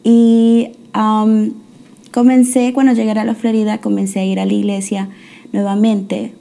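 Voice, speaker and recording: A woman's voice: mid-range voice, little pitch movement, measured pace, vocal fry, warm tone. A young woman from the United States, a guest on an interview show, telling her faith story in a hesitant way with many fillers and restarts. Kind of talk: testimony interview.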